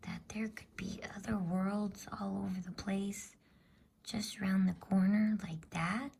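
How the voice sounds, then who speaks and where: Storybook schoolboy played by a female reader: respectful, doubting, soft and breathy, mellow, slightly creaky, unhurried. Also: medium pitch, steady tone, voice pushed up, exaggerated voice. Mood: wondering. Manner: questioning, respectful. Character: polite, puzzled, earnest schoolboy, older brother.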